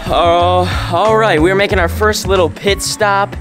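A man's voice: sits high, steady tone, measured pace, over music. A young man talking to a handheld camera at arm's length outdoors, upbeat and enthusiastic as he walks and guides his travel vlog. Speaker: travel vlogger. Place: outdoors on roads and jungle paths. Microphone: handheld selfie camera at arm's length.

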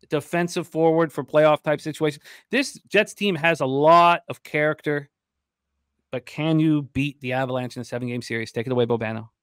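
A middle-aged man hosts sports radio talk with a smooth, clear voice set slightly high. His delivery is punchy and enthusiastic, recorded dry and close. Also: fairly bright tone, dry recording. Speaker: sports betting show host. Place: indoor home studio. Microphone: large desk microphone close to mouth.

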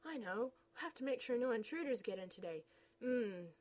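A woman's voice: on the high side, animated, moderate pace, darkish tone. A young woman reading her own comic aloud, playfully switching voices between the characters. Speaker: comic reader.

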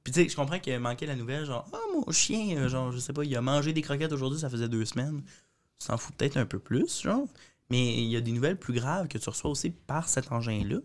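Young man, Quebec French accent, podcast panelist; rapid, animated, jokey. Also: medium pitch. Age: young adult. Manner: animated, jokey, acts out quotes. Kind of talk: casual group discussion. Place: small podcast studio. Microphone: studio mic with headphones.

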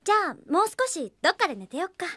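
A woman playing a bright, naive young princess in an anime, girlish and casual. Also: very high voice, sing-song, racing, no room sound, exaggerated voice. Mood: curious. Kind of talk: Japanese anime character acting.

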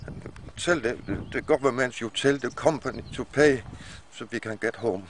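A middle-aged man with a Danish accent, speaking hesitantly to a camera outdoors as a captive ship's officer. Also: medium pitch. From Denmark. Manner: hesitant, halting. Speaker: captive ship's chief mate. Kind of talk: halting plea to camera. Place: outdoors in bushland. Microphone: camera a short distance away. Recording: very noisy windy outdoor camcorder.

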